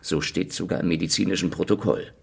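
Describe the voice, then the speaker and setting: A man acting a cynical, world-weary secret police investigator in a noir radio play, with a gravelly, sardonic delivery. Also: deep voice, steady tone, fast talker, a little mellow, no echo, exaggerated voice. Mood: sardonic, pressing. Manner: sardonic, dry. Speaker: actor and narrator in a radio play. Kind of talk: noir radio drama, hard-boiled first-person narration.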